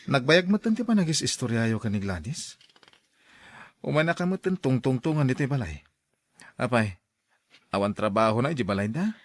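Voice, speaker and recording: A man's voice: mid-range voice, very animated, moderate pace, pauses often. An actor playing a lovestruck young man, an eager and generous suitor, in a radio drama. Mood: reluctant, evasive.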